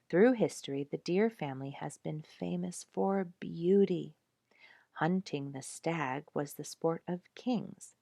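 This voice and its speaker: Woman, low voice, lively swooping intonation, creaky edges, expressive storybook reader. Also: no room sound, studio quality. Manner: animated, showing pictures to listeners. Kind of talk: expressive read-aloud storytelling of an animal book.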